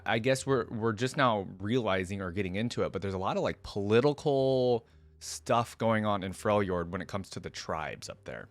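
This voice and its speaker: A man's voice: lowish voice, dry recording. A man hosting a reaction video, talking casually and thinking aloud as he comments and reads text out.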